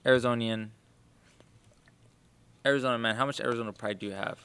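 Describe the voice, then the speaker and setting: This is a male interviewer with a bright, forward voice at an ordinary pitch for a man. He talks in an eager, chatty way and laughs freely as he leads the conversation. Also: fairly even intonation, a little fast, smooth. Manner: chatty, enthusiastic, laughs often. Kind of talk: casual interview chat.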